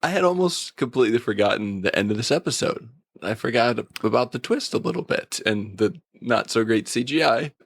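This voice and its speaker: A man with a creaky voice, casually reviewing a TV episode as a podcast co-host. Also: mid-range voice, expressive, a little fast, no echo, studio quality.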